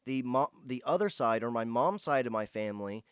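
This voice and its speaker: Clear-voiced man, casual vlogger. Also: medium pitch, expressive, brisk, no echo, clean studio sound. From United States, Indiana. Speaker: host of a foraging and herbal channel. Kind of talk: casual vlog, answering viewer questions.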